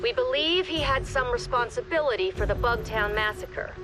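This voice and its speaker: A woman playing a calm, commanding agency director. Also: a little high, over music, natural voice. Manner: measured, commanding briefings. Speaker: director giving briefings.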